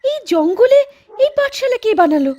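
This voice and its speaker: A woman's voice: extremely high pitch, very animated, moderate pace, mellow. A woman voicing a frightened, stammering village wife in a cartoon. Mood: uneasy. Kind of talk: cartoon voice acting.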